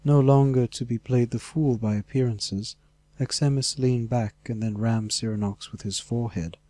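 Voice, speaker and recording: A man's voice: medium pitch, steady tone, moderate pace, dark tone, no room sound. A man reading scripted fantasy narration as an audiobook narrator.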